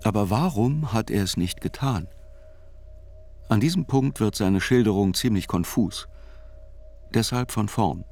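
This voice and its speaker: A male narrator with a fairly low voice reads a literary chronicle. His delivery is measured and deliberate, with articulate phrasing suited to an audio drama. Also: animated, darkish tone, soft background music.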